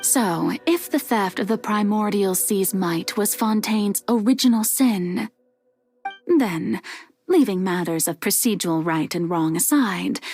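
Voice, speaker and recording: A calm teasing goddess in a video game, soft highish voice, really slow and theatrical, music behind. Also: female voice. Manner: measured, formal, gently teasing, theatrical. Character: serene, playful goddess of justice, ancient and self-sacrificing. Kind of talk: scripted video game cutscene acting.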